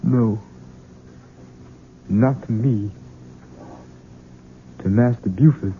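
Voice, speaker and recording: An actor playing a simple-minded, gentle, troubled country servant with halting, childlike speech in a 1970s radio drama. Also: male voice, lowish voice, slight background noise. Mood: anguished, brooding. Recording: muffled vintage radio broadcast.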